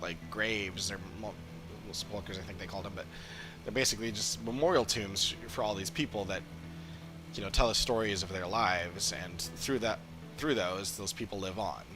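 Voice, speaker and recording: A man giving casual livestream commentary on a game, haltingly searching for words as he talks. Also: on the low side. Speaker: game streamer. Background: game music.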